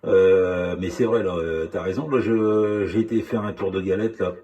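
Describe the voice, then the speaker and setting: A man in a casual radio chat as a hobby CB operator, speaking haltingly and often repeating words. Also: low voice, mellow.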